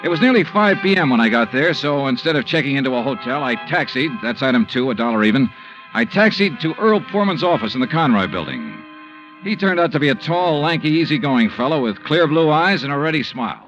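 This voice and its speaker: A man's voice: mid-range voice, sing-song, fairly bright tone, dull audio. An actor playing an investigator who dryly reads out his expense account in a 1950s radio drama. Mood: brisk. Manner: brisk, dry itemizing narration. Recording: old radio recording with music.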